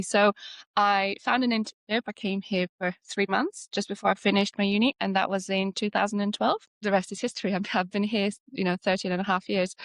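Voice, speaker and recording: A woman's voice: mid-range voice, no room sound. A woman in her thirties from Slovenia, chatting as an interview guest, rambling with frequent restarts. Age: 30s. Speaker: architect, guest. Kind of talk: conversational interview.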